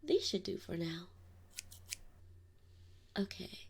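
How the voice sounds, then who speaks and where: A woman playing a doting, gently teasing wolf mother in an ASMR roleplay, speaking softly and often in a whisper. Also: lowish voice, lively intonation, a little hiss or hum. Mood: fussing, fond. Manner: soft, slow, often whispered. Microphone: binaural mic.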